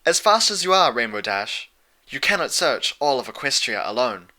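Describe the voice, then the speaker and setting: Man voicing a calm, raised-pitch regal pony princess. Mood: patient. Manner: measured, patient, lecturing. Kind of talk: fan fiction audiobook character voice.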